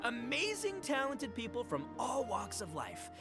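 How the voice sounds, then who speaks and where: A man's voice: very high-pitched, wildly swooping pitch, measured pace, creaky, over music, heavily acted. A man voicing a boastful, good-hearted young oni gang boss in a video game, swaggering and slangy. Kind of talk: animated video game voice acting.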